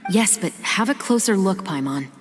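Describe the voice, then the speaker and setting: A woman playing a stern, dutiful young patrol captain in an anime game, speaking in a formal, measured, self-assured way. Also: mid-range voice, lively intonation, music in the background, close to an everyday voice. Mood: focused.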